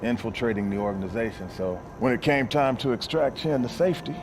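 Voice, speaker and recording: An actor playing a seasoned, weary soldier in a video game, speaking in a wry, matter-of-fact way. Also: male voice, medium pitch, lively intonation, a little fast, lightly acted. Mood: grim, matter-of-fact. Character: seasoned, weary male soldier telling grim past missions. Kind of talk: video game cutscene acting. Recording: loud vehicle and outdoor noise behind.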